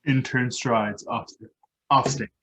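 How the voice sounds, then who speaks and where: Male narrator for a table read, medium pitch, delivering scripted stage directions. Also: steady tone, takes it slowly, background noise.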